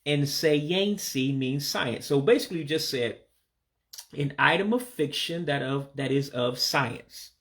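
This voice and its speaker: An American man, a native English speaker, giving a casual video language lesson and slowly repeating words for pronunciation. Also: on the high side, fairly bright tone. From United States. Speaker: language learner teaching vocabulary.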